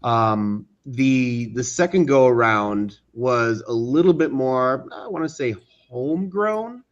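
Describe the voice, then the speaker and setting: A man speaking as an interview guest, casually recounting things and often pausing to search for words. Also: mid-range voice, dull audio. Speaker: interview guest, studio co-founder.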